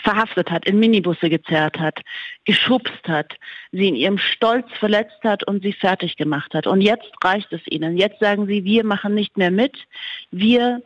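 A woman's voice: mid-range voice, normal speed, no room sound. German woman journalist, slightly dull sound. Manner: emphatic, building long lists. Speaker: journalist guest. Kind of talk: interview answers.

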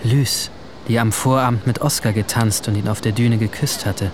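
A man's voice: medium pitch, steady tone, normal speed, noisy background, close to an everyday voice. A young man playing a brooding teenage boy who narrates his own story in subdued, introspective short phrases. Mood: observant. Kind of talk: first-person scripted drama narration. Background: music.

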